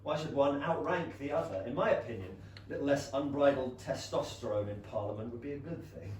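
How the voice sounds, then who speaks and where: A man playing a high-minded young Regency gentleman in a stage comedy, formal, measured and dryly earnest. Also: slight background noise, close to an everyday voice. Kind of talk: staged reading of a period comedy play. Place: small theatre stage, captured from a distance. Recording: very echoey, distant theatre stage recording.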